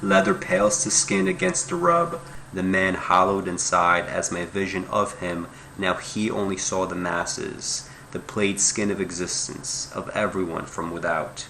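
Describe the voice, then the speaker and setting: Very deep, gravelly man reciting poetry. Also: measured pace, pushed and bright, slight background noise. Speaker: poetry reader.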